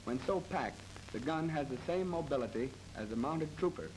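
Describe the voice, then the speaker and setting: 1930s American training film narrator, noisy old soundtrack. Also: male voice, a little high, expressive, darkish tone. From United States. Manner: formal, measured, matter-of-fact.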